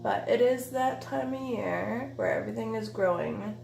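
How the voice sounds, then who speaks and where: A woman's voice: fairly high pitch, moderate pace, vocal fry, a little mellow. A woman in her thirties with a nasal, stuffy voice from a cold, chatting through a makeup tutorial at home with many ums and uhs. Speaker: beauty vlogger. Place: bedroom by a window, indoors. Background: faint music and weed eater noise.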